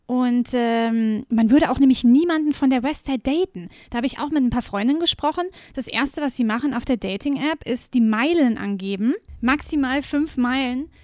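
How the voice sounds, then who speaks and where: A chatty German woman co-hosting a podcast, with a voice a little higher than most and lots of easy fillers. She talks in a relaxed, friendly back-and-forth. The sound is dry and close, recorded at home with the windows shut. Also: normal speed, few pauses, no room sound. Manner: chatty, laughs easily, many fillers. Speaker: podcast co-host. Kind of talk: casual chat.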